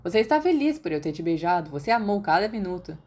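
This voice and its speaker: A woman's voice: on the low side, sing-song, fast talker, darkish tone. A Brazilian woman voicing a blunt, teasing young man in an audiobook, pushy and emphatic.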